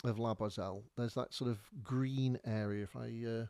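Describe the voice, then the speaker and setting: A man's voice: medium pitch, fairly even intonation, normal speed, vocal fry, mellow, no echo. Man, podcast host; easygoing, chatty storyteller with a mid-range voice; dry sound. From North Wales. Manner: chatty, rambling, with many fillers and restarts. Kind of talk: casual storytelling while showing maps.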